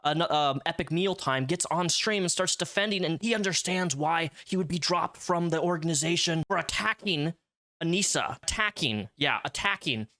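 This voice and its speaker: A man's voice: on the high side, moderate pace, bright, forceful tone, no room sound, clean studio sound. A man hosting a commentary video about online drama, talking emphatically and repeating words for stress. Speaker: YouTube commentator.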